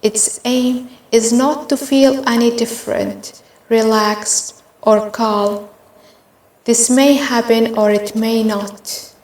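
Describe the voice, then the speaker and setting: A woman narrating a guided meditation in a gentle, measured, instructional way. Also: fairly high pitch, steady tone. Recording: roomy, echoing voice recording.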